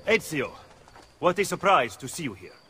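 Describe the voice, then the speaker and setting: An actor playing a shrewd Italian conspirator in a video game, speaking in a measured, ominous way with an Italian accent. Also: male voice, on the high side, sing-song, pushed and bright. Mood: cool surprise. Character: shrewd, solemn Italian conspirator advising an assassin. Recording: music behind the voice.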